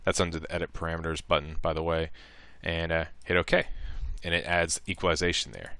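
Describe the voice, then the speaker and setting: A man with a low, clear voice walks through a software tutorial in an informal way. He thinks aloud step by step, sounding bright and close in a dry space. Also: brisk, dry recording. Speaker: tutorial presenter. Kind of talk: casual screen-recorded software tutorial.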